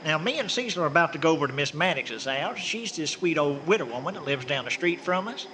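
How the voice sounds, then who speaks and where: A high, very animated Southern American country man, played by a male actor in a comic radio drama. He is folksy, insistent and good-hearted, heard over faint noise. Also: fast. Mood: friendly, persuasive. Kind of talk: comic old-time-style radio drama.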